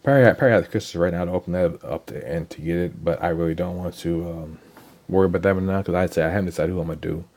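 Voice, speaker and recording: A young man speaks in a deep, mellow voice, a little hoarse from a cold. He rambles casually through a gameplay walkthrough, stumbling over repeated words and filling pauses with ums. The tone stays relaxed and low-key throughout. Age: young adult. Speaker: gaming channel host. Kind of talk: casual game account walkthrough.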